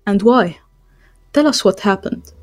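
A woman with a medium-pitched voice acts as interviewer. She delivers short, scripted prompts, with music playing behind her. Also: lively intonation, unhurried.

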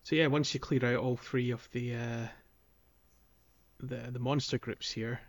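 A man hosting a podcast, casually guiding listeners through a video game in a halting, think-aloud way. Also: medium pitch, steady tone. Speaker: podcast host.

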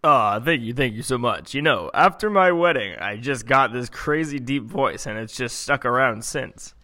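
An excitable man chats about football as a podcast co-host, his voice bright and forceful. He rambles and restarts his sentences as he goes. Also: mid-range voice, normal speed. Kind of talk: casual sports chat.

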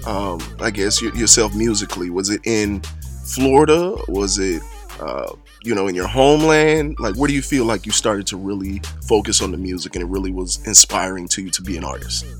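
A man's voice: lowish voice, animated, brisk, faint music behind. A man hosting a hip-hop radio interview, talking in laid-back slang with lots of fillers and hype. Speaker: radio show host.

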